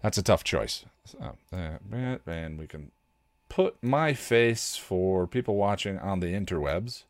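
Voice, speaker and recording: A man's voice: low-pitched, lively intonation, measured pace, dry recording, clean studio sound. A man hosting a podcast, giving casual recommendations in an enthusiastic, rambling way, thinking aloud as he goes. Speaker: podcast host recommending shows. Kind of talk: casual chat, streaming recommendations.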